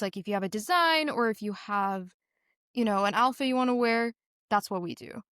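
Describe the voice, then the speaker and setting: A woman's voice: fairly high pitch, fairly bright tone, dry recording. Teenage girl, earnest, dry close sound. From Singapore. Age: teens. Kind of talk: conversational interview answers.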